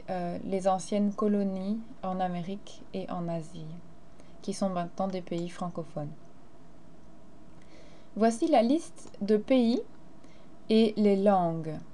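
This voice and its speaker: A woman's voice: medium pitch, mellow, a little hiss or hum. A teacher, a woman, explaining grammar and carefully modeling phrases in a recorded lesson. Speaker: French teacher.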